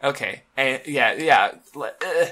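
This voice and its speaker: A man co-hosting a casual podcast, rambling with many restarts and fillers, a bit hoarse. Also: medium pitch, lively intonation, unhurried, sharp tone. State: throat-clearing, a bit hoarse. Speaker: podcast co-host. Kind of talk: casual chat about manga.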